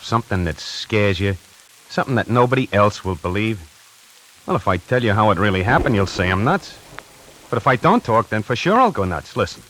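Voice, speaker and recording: A man's voice: lowish voice, a bit bright, a little hiss or hum, rough recording. An actor playing a streetwise, nervous young tie salesman in a 1940s radio play, tough-talking and slangy, on an old radio recording. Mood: uneasy. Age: young adult. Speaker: lead actor narrating.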